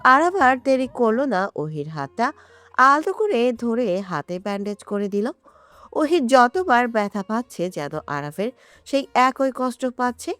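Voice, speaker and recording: A woman's voice: a little high, a bit bright, dry recording. A woman reading a romantic story as a narrator, in a dramatic scripted style. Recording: music behind the voice. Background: background music.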